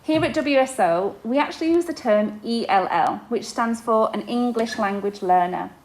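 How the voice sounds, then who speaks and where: A woman's voice: fairly high pitch, normal speed, poor audio. A young adult woman, an inclusion teacher, explaining to parents in a podcast-style talk, with frequent fillers and restarts.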